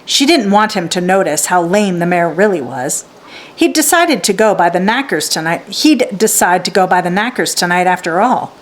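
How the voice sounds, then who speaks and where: A woman reading a children's story aloud as scripted, expressive narration. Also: fairly low pitch, normal speed.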